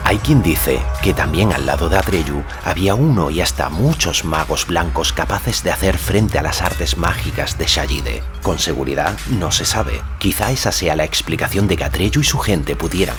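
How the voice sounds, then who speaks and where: A man narrating a fantasy story as a scripted audiobook, measured and solemn in delivery. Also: very deep voice.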